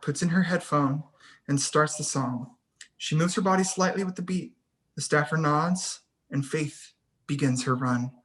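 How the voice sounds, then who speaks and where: Mid-pitched reader of screenplay directions. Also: a bit bright. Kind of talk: scripted screenplay narration.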